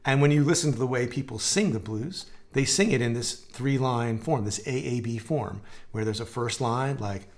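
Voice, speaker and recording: A man in his forties teaching a guitar lesson in a relaxed, conversational way, his voice a little gravelly from a dry throat. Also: medium pitch, normal speed. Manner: relaxed, conversational, explaining with hand gestures and demonstrating. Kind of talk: live-streamed guitar lesson. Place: home music room with guitars on the walls.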